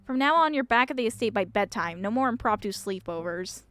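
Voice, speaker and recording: A woman's voice: a little high, lively intonation, brisk, a bit bright. Woman reading as a stern, exasperated young woman. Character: stressed, scolding, humorless young woman cousin, a mine boss.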